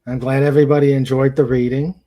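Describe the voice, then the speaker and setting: A man's voice: mid-range voice, flat delivery, fast talker, mellow. A man hosting a casual live chat, reading out viewer comments in a hesitant, rambling way and repeating his phrases. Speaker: show host. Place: at home in a room with a couch.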